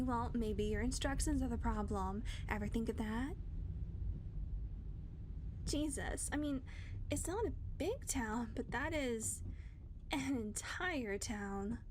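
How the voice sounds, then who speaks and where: A woman voicing a blunt, foul-mouthed female vampire in an audio roleplay, talking sarcastically and dropping into soft murmurs. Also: a little high, a little hiss or hum. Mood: exasperated.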